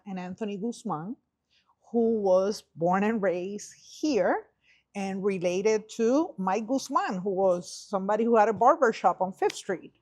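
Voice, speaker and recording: A woman in her fifties tells her own story in a Puerto Rican accent, at a medium pitch. She slips easily between English and Spanish, as a native Spanish speaker does, and voices each side of remembered exchanges. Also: a little mellow. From Puerto Rico. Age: 50s. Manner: switches freely between English and Spanish, acts out quoted dialogue. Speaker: podcast guest, film festival director. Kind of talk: conversational personal storytelling.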